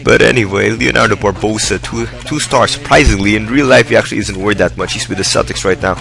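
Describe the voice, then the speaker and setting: A young man talks through his gameplay in a low, gravelly voice that has gone hoarse from a long session. His commentary is loose and rambling but upbeat, and faint music plays underneath. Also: fast, fairly bright tone. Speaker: gaming video host. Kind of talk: casual game commentary. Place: indoors, hot room.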